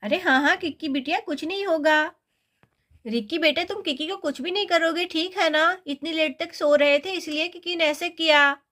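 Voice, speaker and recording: Woman as an indulgent high-voiced cartoon mum. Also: normal speed, a bit bright. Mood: soothing. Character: indulgent mother soothing her squabbling children. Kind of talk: children's cartoon story dialogue.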